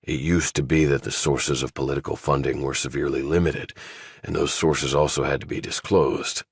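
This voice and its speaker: A man voicing a stern retired general who runs a covert office, speaking in a commanding, deliberate way as audiobook dialogue. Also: very deep voice, level tone, creaky, slightly rough, dry recording, clean studio sound, full character voice. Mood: grave, explanatory.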